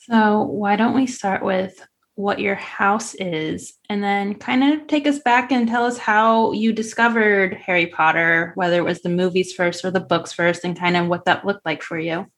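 A woman co-hosting a podcast, asking the guest questions in a relaxed, conversational way. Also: mid-range voice, normal speed. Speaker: podcast co-host. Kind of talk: casual podcast interview.

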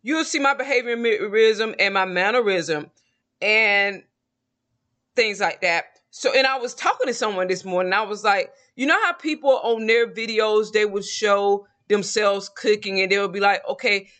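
A man's voice: high voice, moderate pace, sharp tone. A man in his forties with a Southern American accent, talking to his viewers in a rambling, reflective monologue. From Southern United States. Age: 40s. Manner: rambling and reflective, with frequent restarts. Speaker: vlogger. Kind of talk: casual monologue to camera.

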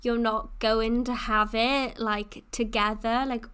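Chatty young woman, smooth voice. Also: a little high, level tone, slow, a bit bright. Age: 20s. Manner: chatty, peppered with "like" and "you know". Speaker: podcast host. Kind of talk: casual solo chat.

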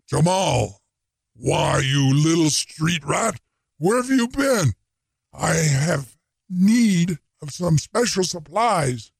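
A man voicing a gruff, booming, hot-tempered male ironsmith in a storybook reading. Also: animated, slow pace, no room sound, clean studio sound, heavily acted. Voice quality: gravelly, booming.

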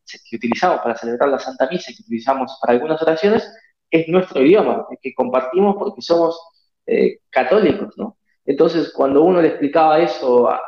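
South American missionary priest, gravelly, earnest interview answers, dull echoey audio. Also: male voice, medium pitch, a little mellow. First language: Spanish. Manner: earnest, reflective, many pauses and fillers.

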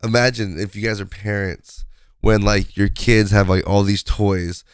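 A man hosting his podcast in a casual, rambling way, his voice raspy from a sinus infection. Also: fairly low pitch, little pitch movement, unhurried, no echo. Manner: rambling, conversational, many fillers. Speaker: podcast host. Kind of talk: casual solo podcast chat.

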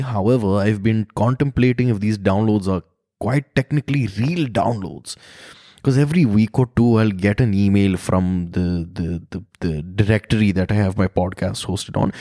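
Man, very low voice, loose reflective podcast ramble, frequent swearing, dry close sound. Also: warm tone, dry recording. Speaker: podcast host. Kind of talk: casual solo podcast monologue. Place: his own room.

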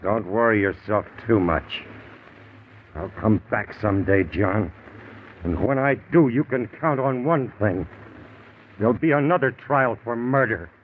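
A man's voice: fairly low pitch, animated, a little slow. An actor playing a bitter, defiant convicted man, sardonic and clipped, in 1950s American radio style. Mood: cold menace. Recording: noisy, tinny 1950s radio recording.

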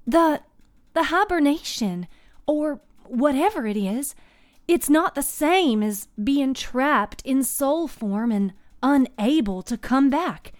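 A woman voicing an inquisitive, analytical young woman who reasons aloud haltingly in a storybook fantasy. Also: high-pitched, expressive, no echo, studio quality, pitched above the performer's own voice. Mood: analytical, probing.

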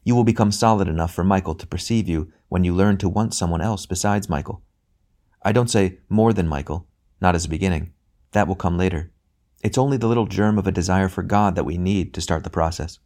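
A man voicing a bright spirit, a brother who speaks gently and patiently in a calm, measured way. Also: deep voice. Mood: gentle, patient.

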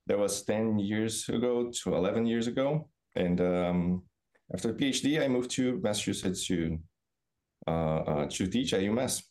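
Low, mellow Brazilian man, thoughtful. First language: Portuguese. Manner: thoughtful, explanatory, halting. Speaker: podcast guest, business professor. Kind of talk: reflective interview answers.

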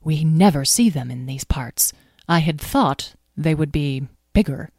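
A woman voicing a wise, calm male pony healer who speaks softly and slowly. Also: on the low side, no echo, studio quality. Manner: soft, slow, measured.

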